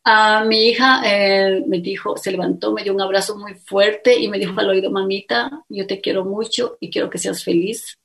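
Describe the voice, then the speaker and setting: A woman's voice: medium pitch, moderate pace, creaky, hardly any pauses. A middle-aged woman with an Ecuadorian accent, earnestly telling her life story as an interview guest and acting out what others said to her. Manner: earnest, animated storytelling with quoted dialogue.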